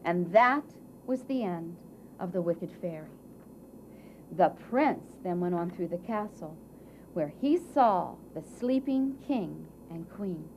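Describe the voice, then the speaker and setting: A woman narrating a children's fairy tale at a live puppet show, with dramatic, drawn-out phrasing that swells and hushes for emphasis. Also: fairly low pitch, expressive. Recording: dull, noisy live event recording.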